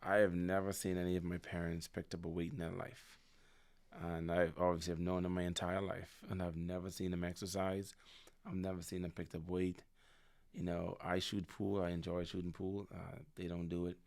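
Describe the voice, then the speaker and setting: Deep gravelly man. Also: normal speed. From Bahamas. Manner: relaxed, reflective, repeats words as he thinks. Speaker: podcast guest. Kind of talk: casual conversation. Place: office conference room. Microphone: studio mic on a stand.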